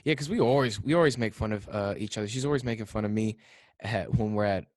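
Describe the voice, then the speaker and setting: A young man hosts a podcast in a low voice, telling stories quickly and offhandedly. The recording is close and dry, with no room sound. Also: darkish tone, no room sound. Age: young adult. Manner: quick storytelling, offhand, mimics others in quotes. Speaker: podcast host. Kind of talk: casual chat.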